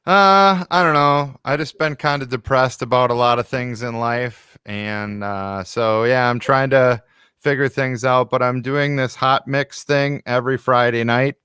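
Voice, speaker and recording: A man's voice: medium pitch, a little slow, sharp tone, clean tone, dry recording, clean studio sound. A man chatting casually and a little hesitantly as a musician guest on a live stream.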